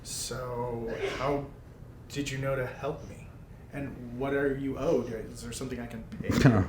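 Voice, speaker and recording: A man's voice: mid-range voice, level tone, measured pace. Man roleplaying in an echoey room. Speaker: tabletop game player. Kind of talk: in-character casual roleplay. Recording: roomy echo with background noise.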